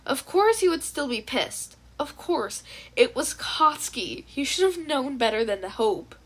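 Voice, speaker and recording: A woman's voice: sits high, very animated, measured pace, a bit bright, breathy edge. A woman reading a story aloud as scripted audiobook-style narration. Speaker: fan fiction narrator.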